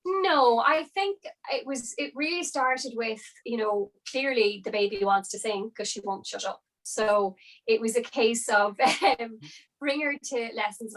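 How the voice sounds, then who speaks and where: A woman's voice: mid-range voice, fairly even intonation, moderate pace, a bit bright, poor audio. A young woman, an opera singer, chatting as a podcast guest and explaining things with lots of hedging fillers. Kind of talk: casual interview chat.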